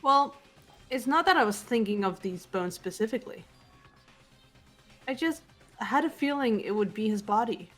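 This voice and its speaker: A woman voicing a composed, guarded teenage girl detective in an amateur game dub, measured and restrained. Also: on the high side, lively intonation, faint music behind. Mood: calm, analytical. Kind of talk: amateur voice acting in a visual novel playthrough.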